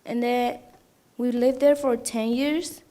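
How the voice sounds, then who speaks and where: A woman's voice: on the high side, steady tone, slow pace, warm tone. A seventeen-year-old girl raised in Thailand, giving a short, plain introduction in simple non-native English as a student speaker. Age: teens.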